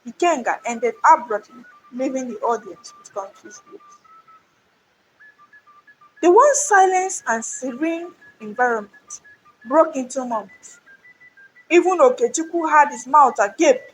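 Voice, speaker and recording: High-voiced woman narrating a dramatic folktale. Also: expressive, measured pace, faint music behind, rough recording. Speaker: storyteller.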